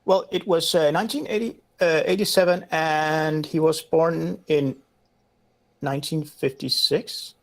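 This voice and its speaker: A man's voice: a little high, steady tone, measured pace. A man from Denmark, chatting casually on a podcast panel, rambling and swearing offhandedly. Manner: rambling, looks things up aloud, swears casually. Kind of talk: casual chat.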